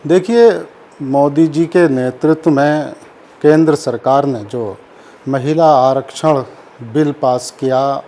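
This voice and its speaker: Slow, measured cleric, higher-pitched man. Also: a little mellow. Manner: measured, formal phrasing heavy with Urdu vocabulary. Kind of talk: formal press interview answers on current affairs.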